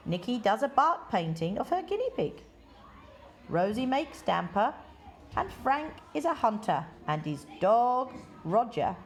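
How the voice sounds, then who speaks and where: A woman reading a children's picture book aloud in deliberate, measured phrases with expressive emphasis. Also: a little high. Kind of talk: read-aloud children's story.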